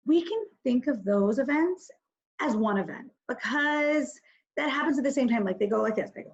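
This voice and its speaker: Kindergarten teacher lady doing a reading lesson for little kids, super up and down voice, slow, kinda muffled lo-fi audio. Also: sits high, animated. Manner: simple, instructive, addresses children directly with questions and pauses to think aloud. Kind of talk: recorded reading mini-lesson for young children.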